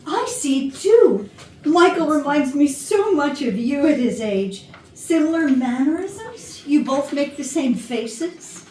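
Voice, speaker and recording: A woman playing a gentle, genteel older lady who draws out her words sympathetically in a staged mystery comedy. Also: high voice, big pitch swings, full character voice. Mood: tender, musing. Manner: drawn-out, sympathetic. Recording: echoey room, distant mic, background noise.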